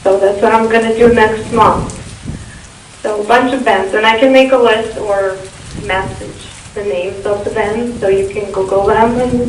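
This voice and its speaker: A woman from Russia, a musician answering interview questions in a relaxed, chatty way. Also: mid-range voice. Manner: relaxed and chatty, with frequent ums. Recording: echoey room, far from the mic, faint noise.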